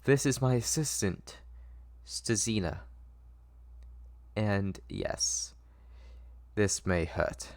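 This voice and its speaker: A man's voice: lowish voice, animated, extremely slow, pauses often, dry recording, heavily acted. A man playing a controlling, obsessive old male doctor who coaxes and soothes in a patronizing way, in a dramatic script reading. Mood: coaxing, controlled.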